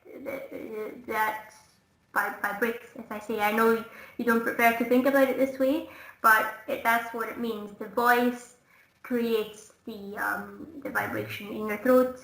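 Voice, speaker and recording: A woman's voice: on the high side, fairly even intonation, measured pace, a bit bright. A woman from Scotland teaching English in a one-to-one online lesson, explaining patiently and demonstrating sounds. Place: indoors, online lesson call. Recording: roomy, echoing online call audio.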